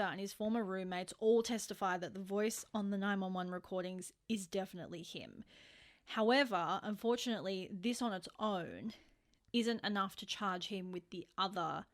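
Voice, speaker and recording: Female podcast host for conversational true-crime narration, medium pitch, casual wording with punchy emphasis on turns. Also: a bit bright.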